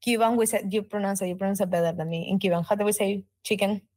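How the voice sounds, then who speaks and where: A woman's voice: medium pitch, fairly even intonation, fast, a little mellow, no echo. A young woman from Argentina with an Argentine Spanish accent, Spanish her first language, chatting animatedly as a podcast guest in slightly broken English. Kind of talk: casual conversation.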